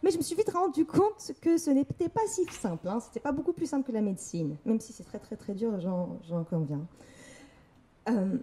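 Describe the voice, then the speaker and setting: A woman's voice: fairly high pitch, expressive, normal speed, dark tone, breathy edge. A young woman from Morocco giving a candid personal talk on stage, telling her story with laughter and quoted voices. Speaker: speaker. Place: event hall.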